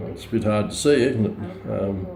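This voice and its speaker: A man giving a casual, unscripted product demonstration as a reviewer, hesitant and halting as he thinks aloud. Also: on the low side, gravelly, a crowd in the background. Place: outdoors or by a window in bright daylight, filming a radio.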